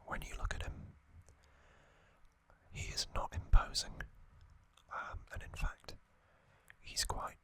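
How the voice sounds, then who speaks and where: Slow whispering man, close to the mic. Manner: soft unvoiced whisper with frequent ums and asides. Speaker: ASMR channel host. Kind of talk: whispered ASMR trivia recap.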